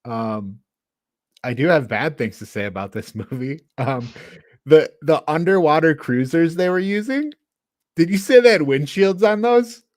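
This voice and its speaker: A man's voice: fairly high pitch, animated, measured pace, dry recording, studio quality. A man co-hosting a casual film review, talking in a mocking, exasperated way. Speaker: podcast co-host reviewing a film. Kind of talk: casual movie review chat.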